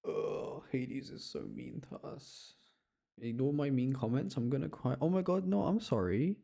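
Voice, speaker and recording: A man's voice: medium pitch, expressive, unhurried, creaky, warm tone. A man doing casual live game commentary for his chat, rambling and thinking aloud as he plays. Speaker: game streamer.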